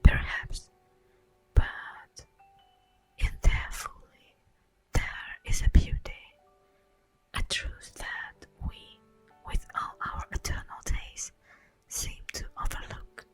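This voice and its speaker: A woman playing an ancient, wistful immortal elf in a slow, breathy whispered ASMR roleplay monologue. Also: close to an everyday voice. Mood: earnest, impassioned. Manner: slow, theatrical, lingering pauses. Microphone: close microphone. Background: faint music.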